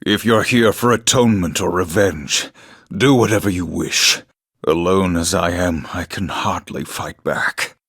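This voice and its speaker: A male actor voicing a weary, defeated war survivor, gruff and resigned, in a video game cutscene. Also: deep voice, unhurried, a bit bright, dry recording, clean studio sound, heavily acted.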